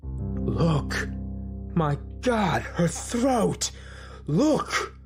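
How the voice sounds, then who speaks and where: An actor playing a grave older foreign professor with a gravelly voice and halting, ominous, stilted phrasing. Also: male voice, fairly high pitch, sing-song, music in the background, exaggerated voice. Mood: horrified.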